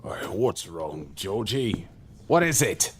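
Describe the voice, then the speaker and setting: An actor playing a calmly authoritative man of the house with a husky voice, in an audio drama. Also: fairly low pitch, slow, slight background noise. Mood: concerned.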